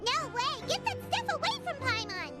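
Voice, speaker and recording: A tiny, excitable fairy sidekick from a video game. The voice is extremely high and childlike, swooping up and down with every exclamation. Loud music plays behind it. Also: female voice, normal speed. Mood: disgusted, wary. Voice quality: squeaky, childlike. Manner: exclamatory, refers to self in third person. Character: tiny childlike floating fairy companion, excitable, cowardly, chatty. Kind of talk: video game voice acting, cartoonish.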